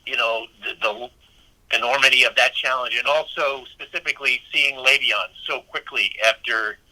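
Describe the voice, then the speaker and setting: A man's voice: mid-range voice, normal speed, sharp tone, low-quality recording. A man working as a sports reporter, asking questions on a press call in conversational phrasing.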